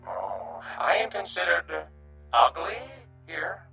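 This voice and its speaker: A man's voice: fairly high pitch, sing-song, slow pace, gravelly, bright, forceful tone, reverberant, faint music behind, exaggerated voice. A man playing a timid, courteous alien refugee in a radio drama, speaking in stilted, formal, hesitant phrases. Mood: hurt, shy.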